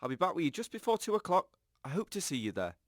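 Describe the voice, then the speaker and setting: Chatty radio host guy man acting, bit high and very lively, faint noise and clicks, audio drama. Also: quick pace. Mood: breezy. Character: chatty male radio presenter, blunt and easily rattled.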